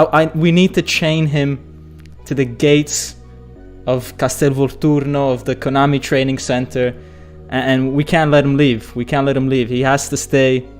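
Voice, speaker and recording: An excitable man hosting a live football fan channel, calling his viewers "guys" as he reads their comments. His voice is hoarse and going from shouting, set at an ordinary middle pitch. Faint music plays underneath. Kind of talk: live-stream fan commentary and chat reading.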